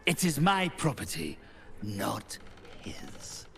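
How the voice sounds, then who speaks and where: An actor playing a haughty, cold, mercenary necromancer in a fantasy game, speaking slowly with theatrical condescension. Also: male voice, medium pitch, sing-song, creaky, fairly bright tone, heavily acted. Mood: disdainful, menacing. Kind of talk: cinematic fantasy game dialogue.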